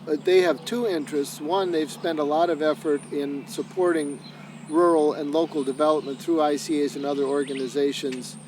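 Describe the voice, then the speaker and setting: Man with a steady mid voice over hum. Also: on the high side. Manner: steady, measured, with frequent "uh" pauses. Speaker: senior associate and lead trainer. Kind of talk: explanatory documentary interview.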